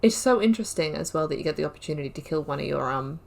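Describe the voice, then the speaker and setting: Gaming host, female, lowish voice, talks her choices through out loud, casual and rambly. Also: expressive, very fast, gravelly. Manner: thinks aloud, rambling, corrects herself mid-sentence; casual and chatty with slangy asides. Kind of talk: let's-play commentary.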